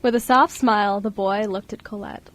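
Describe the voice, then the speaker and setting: A woman's voice: medium pitch, steady tone, moderate pace, a bit bright. A woman reading scripted story narration as narrator of an amateur radio play. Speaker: radio show co-host and narrator.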